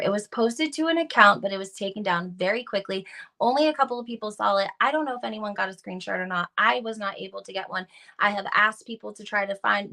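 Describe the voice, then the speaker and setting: A woman's voice: medium pitch, vocal fry, fairly bright tone. A woman hosting a gossip video, giving casual, opinionated commentary to viewers in an emphatic, informal way. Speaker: reality TV gossip video host.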